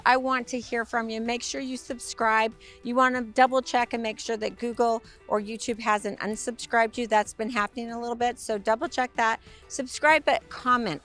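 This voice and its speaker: A woman with a raspy, hoarse voice giving a bubbly, upbeat sales tour of a motorhome. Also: fairly high pitch, fairly bright tone. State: hoarse from a week of talking. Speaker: RV sales presenter. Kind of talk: enthusiastic walkaround tour.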